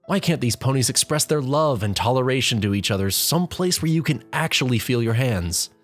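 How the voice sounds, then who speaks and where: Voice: medium pitch, animated, brisk. A narrator reading a comic story in the second person in a wry, exasperated way, with music behind. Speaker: fan fiction narrator.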